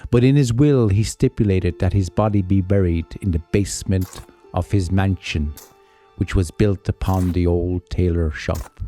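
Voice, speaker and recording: A man narrating a folk tale as a storyteller, with theatrical, deliberate phrasing. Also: deep voice, mellow, faint music behind.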